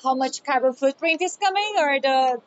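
A woman's voice: high voice, moderate pace, dull audio. A woman from Brazil, a retail expert answering questions on a podcast with enthusiasm and frequent "right?". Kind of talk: casual podcast interview.

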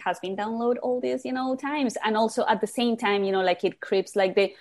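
A woman from Colombia with a Colombian accent, a podcaster speaking as a guest, expressive and quick to laugh. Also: on the high side. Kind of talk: casual podcast interview.

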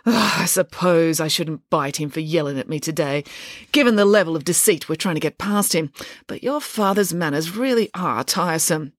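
A bold, cake-loving pig nanny, put on by a woman reading a storybook. She answers brightly and breezily, her pitch leaping high and lively. The sound is dry and close. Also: normal speed, no echo. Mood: breezy.

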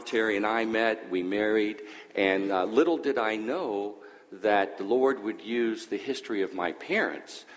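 A man's voice: on the low side, big-room sound. A man born in Greece sharing his personal testimony at a church, talking conversationally with hesitant fillers.

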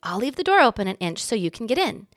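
A woman's voice: a little high, sing-song, fast talker, a bit bright, no room sound, clean studio sound, voice pushed up. A woman voicing a friendly but cautious and slightly bossy young boy in a storybook reading. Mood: agreeable.

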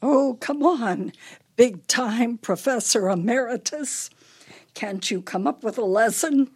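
A woman's voice: fairly high pitch, slow pace, a little mellow, breathy edge. A woman playing an imperious, brittle older wife with a failing memory in a radio play, her delivery theatrical and needling. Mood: teasing, bright.

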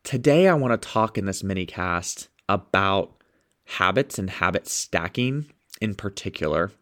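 A man's voice: deep voice, animated, a little slow, fairly bright tone. A man with a stuffed-up nose hosting a motivational podcast, talking with upbeat enthusiasm as a sales coach. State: stuffed up, sniffling.

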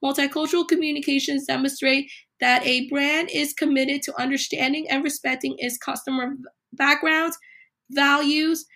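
Woman, podcast host, high voice, slightly hoarse, emphatic list delivery. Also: steady tone, normal speed, sharp tone. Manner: reads numbered points with emphatic delivery. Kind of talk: solo podcast explainer.

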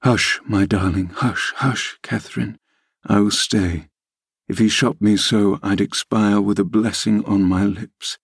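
A male narrator acts a passionate, brooding lover from a classic novel. His voice is fairly low, earnest and tormented, with a fierce intensity. The recording is dry and close. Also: steady tone, measured pace, vocal fry, slightly rough, no echo, exaggerated voice. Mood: tender, resigned. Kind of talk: dramatised classic novel reading.

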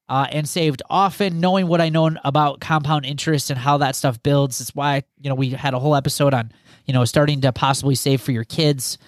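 A man's voice: fairly high pitch, no echo, clean studio sound. A man hosting a podcast, talking casually and rambling with many restarts. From United States. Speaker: podcast host.

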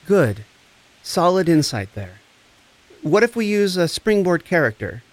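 Man playing high-voiced, gentle, compassionate coach. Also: big pitch swings, unhurried, rough recording. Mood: encouraging, instructive. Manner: tender, soothing, earnest. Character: compassionate, gentle, encouraging recovery coach and friend. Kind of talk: naturalistic audio drama acting.

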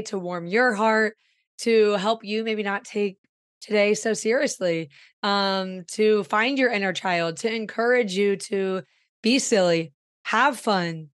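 A woman in her thirties talks in a casual, effusive podcast style, her voice raspy and hoarse because she is losing it. The sound is dry and close, with little room around it. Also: medium pitch, dry recording. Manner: warm, effusive and upbeat. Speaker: podcast host and growth coach.

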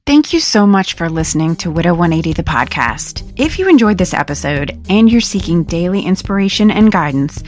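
A woman, the podcast host, reading a scripted outro in a measured way. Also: lowish voice, very animated, darkish tone, faint music behind. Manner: measured, read announcement.

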